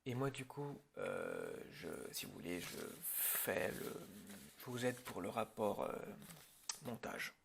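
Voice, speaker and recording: A man plays a film director in an improvised ASMR roleplay. He talks slowly at an average pitch, with plenty of vocal fry. His delivery is informal and hesitant, often pausing mid-phrase, over a faint noisy background. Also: expressive, a bit bright.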